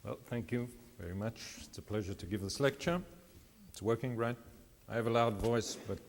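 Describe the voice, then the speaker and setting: A man's voice: fairly low pitch, moderate pace, some chatter behind, a little hiss or hum. Man lecturing in a reverberant hall. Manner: conversational, self-deprecating asides. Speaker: mathematics lecturer. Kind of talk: public lecture.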